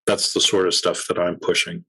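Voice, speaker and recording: A man's voice: low-pitched, flat delivery, moderate pace, pushed and bright, slightly rough. A man as an interview guest, chatting casually and explaining technical matters in an informal way. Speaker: interview guest, military auxiliary radio commander.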